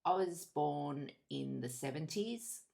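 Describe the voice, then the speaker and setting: A woman in her fifties with an Australian accent, giving a candid, reflective personal monologue in measured short phrases. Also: low voice, lively intonation, creaky, dark tone. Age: 50s. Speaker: podcast host.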